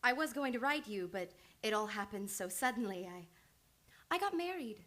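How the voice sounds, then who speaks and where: A woman's voice: on the high side, expressive, moderate pace, a bit bright. A woman playing an earnest, devoted young psychoanalyst in a live old-time radio play. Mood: eager, affectionate.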